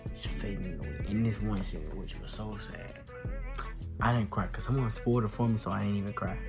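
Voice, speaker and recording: A young man with an American accent giving a casual, slangy movie recap with emphatic, excited retelling. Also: on the low side. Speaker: movie reviewer.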